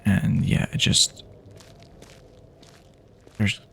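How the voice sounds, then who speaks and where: A man playing a wary but hopeful, laid-back apocalypse survivor in a hushed, halting, rambling, partly whispered ASMR roleplay. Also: a little hiss or hum. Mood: wary, resigned. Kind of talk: improvised ASMR roleplay, soft-spoken.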